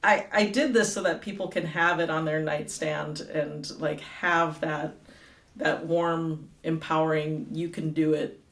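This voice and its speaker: A middle-aged woman talking as a guest and author in a casual interview, chatty and quick to laugh, with lots of fillers. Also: low voice, fairly even intonation, a bit bright. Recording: roomy echo, distant from the mic.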